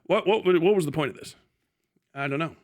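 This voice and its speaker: A man hosting a live stream, giving casual, sweary political commentary in a sarcastic, animated way. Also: fairly high pitch, fairly quick. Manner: sarcastic, acts out imagined conversations in different voices.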